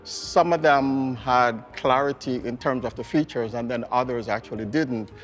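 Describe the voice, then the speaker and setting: A man's voice: mid-range voice, moderate pace, soft background music. A man answering interview questions as an artist, explaining his process conversationally, step by step. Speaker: portrait artist being interviewed.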